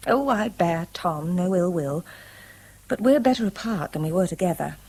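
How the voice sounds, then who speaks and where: A woman's voice: on the low side, lively intonation, normal speed, darkish tone, slightly rough, rough recording. Lilting, poised English woman. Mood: sympathetic, candid. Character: poised divorced woman, candid, gently teasing, cautious in love. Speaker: actor. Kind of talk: 1970s British radio drama acting.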